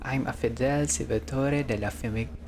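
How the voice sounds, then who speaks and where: Confident vampire protagonist, read by a man, medium pitch, persuasive, bluffing courier in a game. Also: steady tone, normal speed, noisy background, lightly acted. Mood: assured. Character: assured vampire player character bluffing as a trusted courier.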